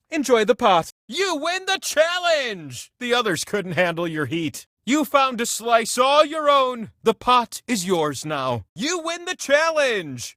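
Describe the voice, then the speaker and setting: A male actor voicing a theatrical, excitable talking prize wheel that hosts a video game, with gushing, dramatic delivery. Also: high-pitched, dry recording, clean studio sound. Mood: delighted. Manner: gushing, theatrical, swings between thrilled and mock-dismayed. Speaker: game show host. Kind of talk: animated video game character voice lines.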